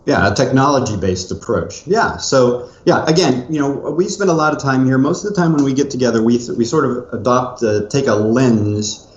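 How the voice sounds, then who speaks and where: A middle-aged man co-hosting a podcast, explaining things in a measured way with frequent fillers. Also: medium pitch. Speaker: podcast co-host. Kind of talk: casual conversational podcast. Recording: roomy, muffled remote audio.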